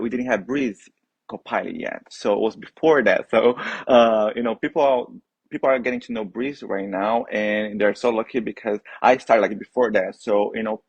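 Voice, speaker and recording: Husky Brazilian man, eager guest. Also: on the low side, dry recording, lo-fi sound. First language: Portuguese. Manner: eager, restarts often. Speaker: guest, HubSpot trainer. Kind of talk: casual interview chat.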